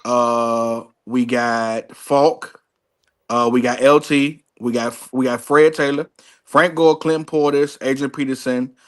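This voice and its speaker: A man's voice: medium pitch, fairly even intonation, slow pace, clean tone. A man in his thirties co-hosting a casual sports debate, emphatic and repeating his points for stress. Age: 30s. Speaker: podcast co-host.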